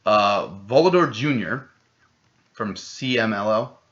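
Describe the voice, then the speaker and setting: Excitable, swearing podcast co-host. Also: male voice, on the low side, slow. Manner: rambling, swears a lot, excitable. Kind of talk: casual chat. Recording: dull lo-fi podcast audio.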